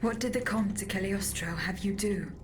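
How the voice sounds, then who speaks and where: A woman voicing a composed, resolute female automaton bodyguard in a video game, measured and formal. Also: medium pitch, natural voice. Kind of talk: dramatic video game acting. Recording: music behind the voice.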